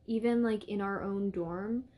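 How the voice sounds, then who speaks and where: A woman's voice: a little slow, warm tone. Chatty young woman podcasting from her dorm. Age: young adult. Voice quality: high-pitched. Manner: chatty, lots of fillers and asides. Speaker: podcast co-host. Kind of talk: casual chat. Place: dorm room.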